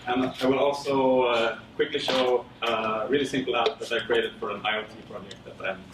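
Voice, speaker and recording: A man from Sweden presenting casually at a tech meetup, with many fillers and a relaxed, rambling delivery. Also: mid-range voice, moderate pace. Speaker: conference speaker. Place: lecture room. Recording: roomy, distant with audible background noise.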